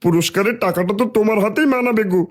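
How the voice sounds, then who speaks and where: A man's voice: high voice, rapid-fire, close to an everyday voice. A man playing a sly, greedy village schemer in a cartoon fairy tale. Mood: conniving.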